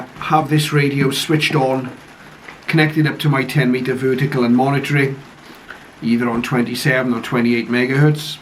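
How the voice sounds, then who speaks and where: A man giving a casual, low-key first-look commentary on a piece of equipment, speaking hesitantly and without hurry. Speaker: radio hobbyist reviewer. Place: conservatory, later a home radio room. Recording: clearly noisy home recording.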